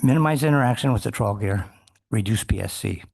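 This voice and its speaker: A man giving formal public testimony at a fishery council meeting, answering a question in a measured way. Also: on the low side, lively intonation, creaky, a little mellow.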